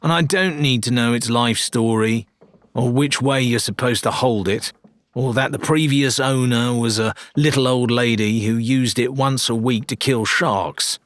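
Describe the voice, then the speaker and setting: A man's voice: mid-range voice, a little slow, no room sound, voice pushed up, lightly acted. A man voicing a brusque, impatient young female pirate in an audiobook, challenging and sarcastic. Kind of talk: dramatised audiobook character dialogue.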